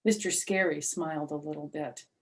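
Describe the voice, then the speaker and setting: A woman's voice: low-pitched, steady tone, normal speed. A woman storyteller reading a children's book aloud, addressing young listeners directly in simple words.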